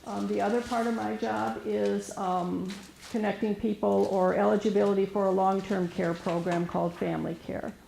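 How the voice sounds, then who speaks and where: A soft-spoken woman with a gravelly, mellow voice presents to a room as a resource specialist. She talks hesitantly, full of fillers and restarts, and the room microphone catches a roomy echo. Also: medium pitch, little pitch movement, normal speed, slight background noise. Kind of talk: informal presentation to an audience. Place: meeting room with an audience. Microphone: room microphone recorded for camera.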